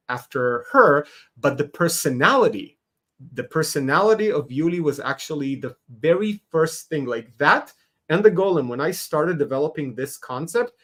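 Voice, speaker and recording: A man's voice: fairly high pitch, a bit bright. A man, a Hebrew speaker, talking animatedly as a guest in a casual podcast interview, laughing often. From Israel. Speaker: guest artist.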